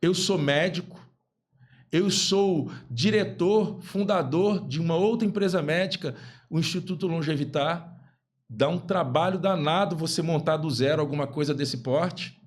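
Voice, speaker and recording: Man, 50s, guest physician, emphatic, repeats phrases, somewhat high pitch. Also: unhurried. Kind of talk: podcast interview talk.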